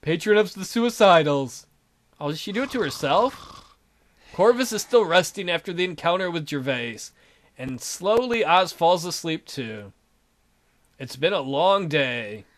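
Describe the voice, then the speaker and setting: A man's voice: fairly high pitch, very animated, a little slow. A man hosting a let's play, reading visual novel narration aloud and adding his own asides.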